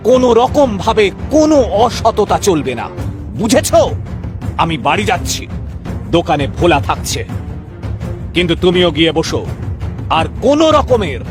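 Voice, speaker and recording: A man's voice: sits high, very animated, moderate pace, long pauses, music in the background. A man playing an ageing, principled sweet-shop owner and stern father in a cartoon tale, speaking earnestly and emphatically. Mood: stern, indignant. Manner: earnest, indignant when crossed, emphatic repeated questioning. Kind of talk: cartoon fairy-tale voice acting.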